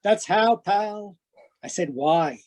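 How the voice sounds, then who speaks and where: Villain: menacing gunman for noir audio drama, higher-pitched, unhurried, taunting gangster patter. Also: animated, a little mellow, exaggerated voice. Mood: taunting, cocky. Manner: slow, taunting gangster slang, calls people pal. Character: bald male gunman, menacing, taunting ex-convict killer. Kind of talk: noir radio-play acting.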